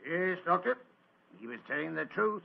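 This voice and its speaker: An actor playing a plain-spoken, dutiful police inspector with a gravelly, husky voice and deferential manner, in 1940s radio drama style. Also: male voice, high voice, lively intonation, moderate pace, exaggerated voice. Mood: businesslike. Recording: dull old radio recording.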